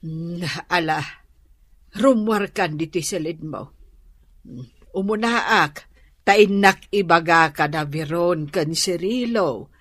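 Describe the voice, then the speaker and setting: A woman's voice: fairly low pitch, slow pace, pauses often, no room sound, studio quality. A woman with an Ilocano accent playing a concerned, patient mother in a radio drama.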